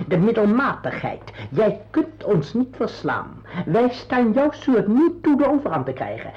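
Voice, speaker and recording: An actor playing a sinister, commanding tormentor in a radio play, mocking and falsely soothing as he poses as a surgeon. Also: high voice. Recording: dull, noisy old radio drama recording.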